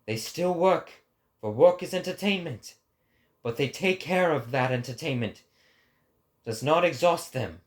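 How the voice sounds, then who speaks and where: A man acts out a lofty male prophet delivering a philosophical oration. The voice sits fairly high and moves very slowly, each phrase weighed out with grave, sorrowful, declamatory weight. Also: lively intonation. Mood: scornful, declamatory.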